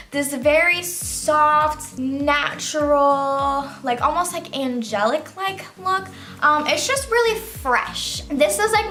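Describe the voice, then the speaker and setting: A woman's voice: sits high, fairly bright tone. A young woman walking through her everyday makeup routine in a loose, chatty way, her voice still sleepy from just waking up. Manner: chatty, loose, heavy use of "like". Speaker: beauty vlogger. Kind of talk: casual makeup tutorial.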